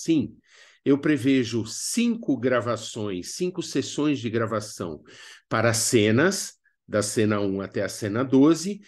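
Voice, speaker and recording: Man, Brazilian accent, medium pitch, instructional narration, didactic, expressive delivery, emphatic pauses. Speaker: workshop teacher.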